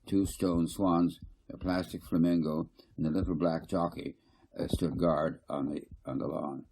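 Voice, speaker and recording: A man's voice: low-pitched, steady tone, unhurried, gravelly, a little mellow, a little hiss or hum. A man reading a novel aloud as its author, stumbling now and then over his words. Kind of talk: audiobook narration with dialogue.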